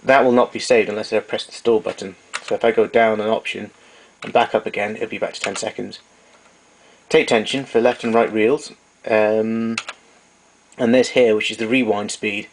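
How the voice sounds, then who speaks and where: Low, even-voiced man over noticeable hiss. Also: moderate pace. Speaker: tape recorder repairer giving a demonstration. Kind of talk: informal technical demonstration. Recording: noisy amateur recording with clicks.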